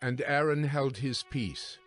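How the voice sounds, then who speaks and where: Guy narrating bible audio drama, normal mid voice, measured n solemn, soft music in the back. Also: medium pitch. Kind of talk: scripted dramatized scripture narration.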